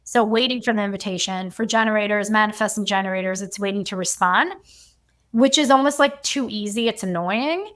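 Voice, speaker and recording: A woman guest on a casual podcast explains her coaching work in a chatty, easygoing flow and often says "like." Her voice sits at a middle pitch. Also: a little fast, fairly bright tone. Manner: chatty, fills her speech with "like". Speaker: human design coach, guest.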